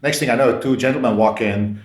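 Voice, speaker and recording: A man's voice: medium pitch, fairly quick. A man from Belgium, a podcast guest telling anecdotes casually and informally, re-enacting conversations as he goes. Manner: informal, re-enacts dialogue with frequent "like". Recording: roomy, echoey sound.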